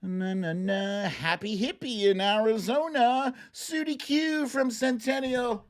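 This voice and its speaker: A livestream host commenting casually on clips in a playful, expressive way, with silly exclamations. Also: medium pitch, takes it slowly.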